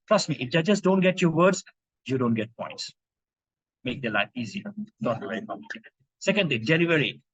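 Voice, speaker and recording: Husky-voiced man coaching speakers. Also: medium pitch, no echo, lo-fi sound. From India. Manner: animated, emphatic, rhetorical questions. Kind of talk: club talk on impromptu speaking. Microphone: video call microphone.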